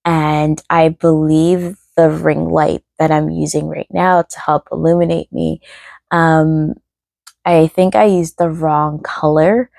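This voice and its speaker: Low, creaky-voiced woman vlogging. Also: little pitch movement, slow pace, darkish tone, no room sound, studio quality. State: voice starting to go hoarse; tired, low energy. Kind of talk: casual rambling chat to camera.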